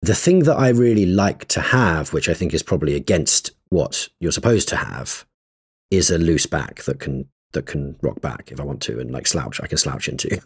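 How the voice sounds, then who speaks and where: Deep-voiced man, husky tone, casual podcast co-host, thoughtful, halting speech with fillers. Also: expressive. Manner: hesitant, filler-heavy, thoughtful rambling.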